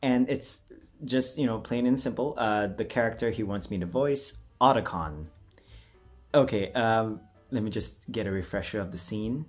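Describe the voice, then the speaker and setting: A man's voice: lowish voice, moderate pace, a little mellow, faint music behind. A man chatting casually as a video creator, rambling in a jokey, self-deprecating way. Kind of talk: casual rambling vlog chat.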